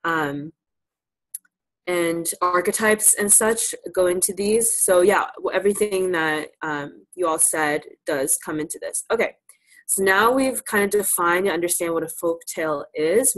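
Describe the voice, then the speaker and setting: A woman with a slightly low-pitched voice presents a lesson in an informal way. She invites participation and encourages those she teaches. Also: a little slow, low-quality recording. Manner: encouraging, affirms answers. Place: live virtual presentation.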